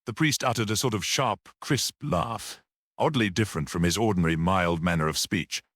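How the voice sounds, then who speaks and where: A man's voice: on the low side, normal speed, fairly bright tone, no echo, studio quality. A man reading scripted story narration as an audiobook narrator.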